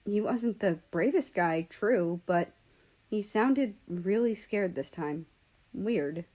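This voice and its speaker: A woman narrating a scripted first-person story in a measured, deliberate way. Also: medium pitch, animated, a little mellow. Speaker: audiobook-style reader of fan fiction.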